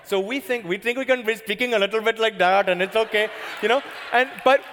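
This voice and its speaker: A man's voice: high voice, a little fast, fairly bright tone, slight background noise. A middle-aged Canadian man preaching a sermon as pastor, chatty and joking, often asking the crowd questions. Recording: hall reverb.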